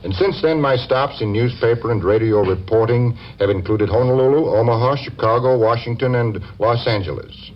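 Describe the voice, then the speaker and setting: A man from the American Midwest, a foreign correspondent, plainspoken and conversational at a middling pitch. It sounds dull and lo-fi, an old 1950 radio recording. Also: normal speed, few pauses, a little hiss or hum.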